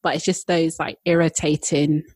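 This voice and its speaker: A woman co-hosting a podcast, chatty and quick to laugh in casual conversation. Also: low-pitched, little pitch movement, darkish tone, husky, no room sound. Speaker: podcast co-host. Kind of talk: casual chat.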